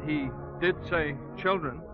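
An actor in 1940s radio drama playing an urbane, wry playwright who speaks with incredulous indignation. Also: male voice, on the high side, measured pace, natural voice. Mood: indignant. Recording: dull radio recording, music behind.

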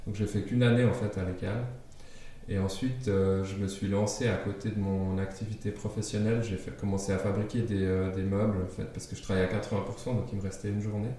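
Swiss-raised man in a home interview, low voice, halting delivery, heard from a distance in a reverberant room. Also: little pitch movement, dark tone, a little hiss or hum. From Switzerland. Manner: hesitant, many fillers and restarts. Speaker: furniture designer interviewed at home. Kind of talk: casual interview. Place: his apartment.

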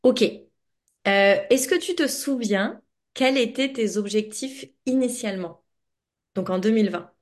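A woman hosting a podcast interview in a casual, chatty and expressive way, speaking informally as a coach. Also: on the high side, unhurried. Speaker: podcast host and money coach.

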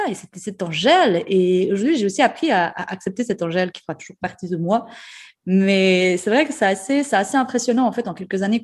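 A woman's voice: medium pitch, darkish tone. A young woman with a Jura Swiss French accent, hosting a podcast and candidly sharing her story in a conversational way, with frequent fillers and restarts. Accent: Swiss French, Jura (Ajoie). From Switzerland, Jura. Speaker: podcast host, nutrition therapist.

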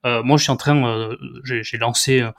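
A man's voice: mid-range voice, fairly even intonation, moderate pace, a bit bright. A man hosting a literary interview, informal and friendly in tone, building long questions with many hesitations.

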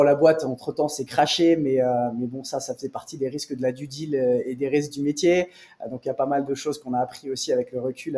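Man in his thirties with a mellow voice. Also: medium pitch. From France (Nice). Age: 30s. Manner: animated, explanatory, many fillers. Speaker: podcast guest, investment firm co-founder. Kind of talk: casual interview, explaining. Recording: remote call, faint music behind.

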